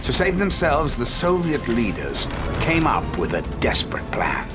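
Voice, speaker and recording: A solemn male chronicler narrating a war game's backstory. His medium-pitched delivery is dramatic and widely inflected, with very loud music behind it. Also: huge pitch swings, unhurried. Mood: portentous. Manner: portentous, dramatic. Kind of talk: dramatic scripted narration for game intro.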